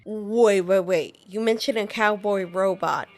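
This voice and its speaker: A woman's voice: mid-range voice, unhurried, darkish tone, soft background music. Hesitant young woman asking questions. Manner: hesitant, with filler words. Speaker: player voicing her character. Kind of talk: casual game voice-acting.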